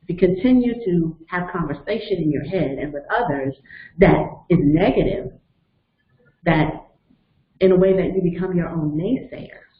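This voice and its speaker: A woman in her fifties leading a motivational webinar, explaining step by step and telling personal stories in an earnest way. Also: fairly low pitch. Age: 50s. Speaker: webinar facilitator and coach. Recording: echoey, phone-like narrow sound.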